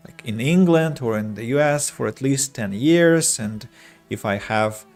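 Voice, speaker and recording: A young adult man from Russia with a Russian accent, streaming a strategy game and chatting with viewers, choosing his words slowly and carefully with frequent restarts. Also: medium pitch, lively intonation, darkish tone, soft background music. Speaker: streamer and English teacher. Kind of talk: casual gameplay streaming chat.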